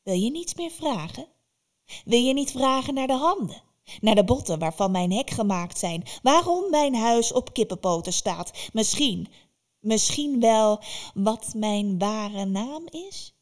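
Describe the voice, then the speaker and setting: A woman's voice: fairly high pitch, lively intonation, a little slow. Woman playing a fierce old witch. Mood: boastful. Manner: loud, stern, scolding.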